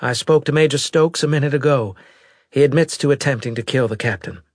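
A higher-pitched, husky voice for a worried but resolute female brigadier , acted out by a male audiobook narrator. The speech is formal and emphatic, full of earnest loyalty, and sounds dry and close. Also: fairly quick, no room sound, lightly acted. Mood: firm, defensive. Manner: formal, emphatic military address. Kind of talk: audiobook character dialogue.

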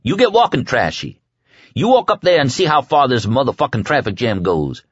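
A young hothead in an audiobook, his pitch thrown far up and swinging wildly. He swears, brags and bullies with explosive temper. The sound is dry and close. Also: big pitch swings, moderate pace, husky, dry recording, lo-fi sound. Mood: seething, stubborn. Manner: screaming, cursing, boastful and patronizing. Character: manic, foul-mouthed young man, swaggering, explosively bad-tempered bully.

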